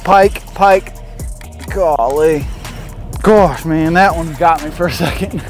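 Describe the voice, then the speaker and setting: A young man, a professional angler, giving casual running commentary from a bass boat out on a lake. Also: slow pace, faint music behind. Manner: casual, talks to himself and the camera crew between casts. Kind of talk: running commentary while fishing.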